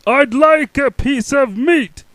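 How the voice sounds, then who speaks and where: A man voicing a loud, booming cartoon character who bellows every line at full volume. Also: slow pace, no room sound, heavily acted.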